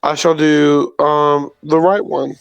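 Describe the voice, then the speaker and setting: A man who plays in a tabletop game and answers in a relaxed, casual way. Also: a little high, slow pace, creaky, lo-fi sound, soft background music. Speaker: player.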